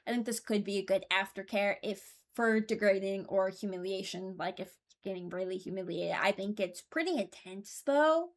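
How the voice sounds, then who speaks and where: A woman's voice: mid-range voice, moderate pace, few pauses. A woman hosting a video, giving casual, rambling commentary and hesitating as she thinks aloud. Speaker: video creator and host.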